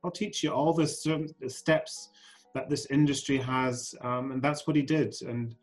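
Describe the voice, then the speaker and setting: A man's voice: mid-range voice, steady tone, moderate pace, soft background music. A man in his forties, born in Scotland and raised in Germany, answering questions as a podcast guest, with frequent ums and restarts. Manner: hesitant, many ums and restarts. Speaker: guest, fashion council CEO. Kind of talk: podcast interview.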